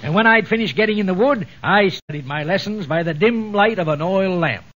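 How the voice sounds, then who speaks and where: A man's voice: high voice, a little fast, a bit bright. An American man acting an absent-minded, blustering middle-aged father in a 1940s radio comedy, hemming and hawing in a folksy way. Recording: old muffled 1940s radio recording, noisy.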